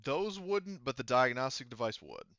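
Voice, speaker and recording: Bright, clear-voiced man, dry sound. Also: mid-range voice, big pitch swings, moderate pace, no room sound, highs cut off. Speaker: game master. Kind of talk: running a tabletop roleplaying game, casual explaining.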